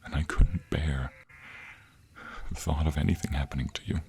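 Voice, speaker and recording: A man voicing a devoted, protective vampire boyfriend in an intimate romantic audio roleplay, speaking earnestly and hesitantly, often in a breathy hush. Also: rumbling low, steady tone, normal speed, slight background noise. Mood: hushed, troubled.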